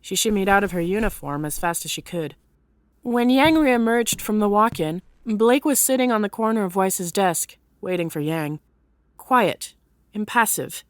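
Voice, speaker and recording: A woman narrates a story from a script with an expressive storyteller's delivery. Her pitch is mid-range, and the sound is very dry, free of any room. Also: moderate pace, dry recording.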